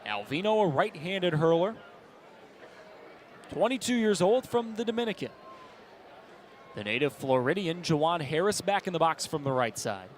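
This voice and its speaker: A man's voice: fairly high pitch, animated, normal speed, long pauses, a little hiss or hum. A man with an American accent calling baseball play-by-play as a live broadcast commentator.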